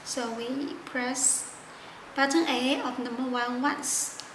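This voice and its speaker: A woman narrating a step-by-step product tutorial in halting, simple non-native phrasing. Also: sits high, fairly even intonation. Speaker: product demonstrator. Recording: echoey room with loud background noise.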